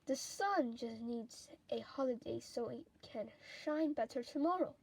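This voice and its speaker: Woman voicing a gentle, unhurried storybook dad. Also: fairly high pitch, animated, husky, full character voice, poor audio. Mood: gentle, reassuring. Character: kindly, philosophical dad, a bin man.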